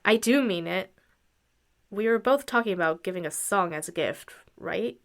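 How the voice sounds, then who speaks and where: A woman's voice: mid-range voice, lively intonation, normal speed, a little hiss or hum, lightly acted. A woman playing a practical, supportive wandering musician in a radio drama, matter-of-fact and encouraging. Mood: skeptical.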